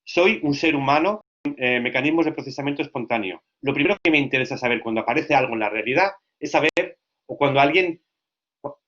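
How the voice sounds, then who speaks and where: Lively male lecturer, lo-fi sound. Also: mid-range voice, brisk. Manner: lively, asks frequent check questions. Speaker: language teacher trainer.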